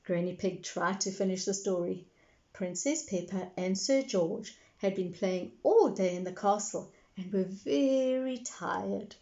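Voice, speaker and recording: A woman reading a children's story aloud in an expressive style, addressing her young listeners directly. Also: mid-range voice, unhurried, lo-fi sound.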